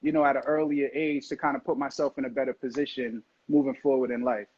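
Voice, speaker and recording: A man from Jamaica, a guest in a relaxed chat on a live video call, who repeats words and often says "facts". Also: medium pitch, fast talker, breathy edge. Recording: dull lo-fi call audio.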